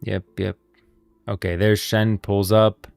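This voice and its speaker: A man's voice: on the low side, flat delivery, slow pace, gravelly, a little mellow, smooth, no echo, faint music behind. A man giving casual livestream lore commentary as a streamer, rambling informally and thinking aloud.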